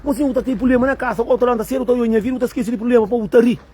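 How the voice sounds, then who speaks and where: A woman with a husky, breathy voice rants to her viewers in a rambling way. She keeps circling back to the same words, talking straight at the camera like a video creator. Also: on the high side, fairly even intonation, very fast, warm tone. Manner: rambling and repetitive. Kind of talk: ranting monologue to viewers.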